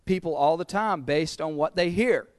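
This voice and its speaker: Earnest Southern pastor, dry sound. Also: male voice, on the high side, normal speed, dry recording. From American South. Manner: earnest, repeats words while building points. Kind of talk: sermon.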